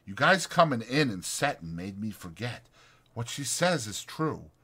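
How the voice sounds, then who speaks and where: A man's voice: mid-range voice, sing-song, measured pace, bright, forceful tone, breathy edge, full character voice. A man voicing a withdrawn, bitter stable hand, subdued and guarded, in a dramatized audiobook. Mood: guarded, resigned. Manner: subdued, dull, guarded, rural dialect.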